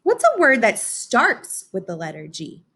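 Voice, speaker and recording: A woman's voice: fairly high pitch, wildly swooping pitch, unhurried, creaky, pushed and bright, slightly rough. A woman teaching young children in an interactive read-aloud lesson, encouraging and instructional, often putting questions to her listeners.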